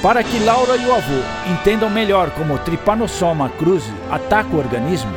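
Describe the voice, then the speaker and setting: A man's voice: sits high, expressive, fairly quick. A man with a Brazilian accent narrating a scripted radio drama in a dramatic storytelling manner. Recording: music under voice.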